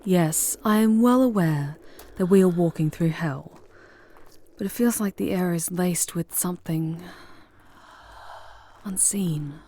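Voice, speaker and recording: A woman playing a charming, seductive demon guide through hell in an intimate ASMR roleplay, with theatrical, formal phrasing and breathy delivery that often drops into whispers. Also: fairly low pitch, slow pace, warm tone, slight background noise. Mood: coaxing, intimate. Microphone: close ASMR microphone.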